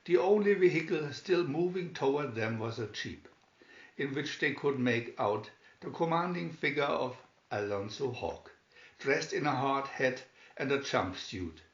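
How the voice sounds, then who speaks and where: A male narrator reads an adventure storybook slowly and deliberately, at a medium pitch. The sound is somewhat muffled, with clear room echo around the voice. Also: lively intonation. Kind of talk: reading a children's adventure story aloud. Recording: dull, echoey room recording.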